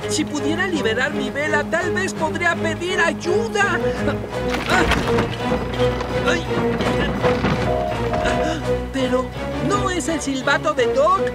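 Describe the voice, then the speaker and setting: A woman's voice: high-pitched, takes it slowly, long pauses, over loud music. A woman voicing a small, timid but brave young railboat in a Latin American Spanish cartoon dub, anxious and exclamatory. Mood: panicked.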